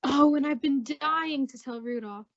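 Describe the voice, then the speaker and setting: A woman's voice: sits high, a little slow. A woman playing a wry, chummy, gossipy friend in an old-time radio sitcom, with a breezy, teasing manner. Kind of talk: 1940s radio sitcom acting. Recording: muffled old-time radio style recording.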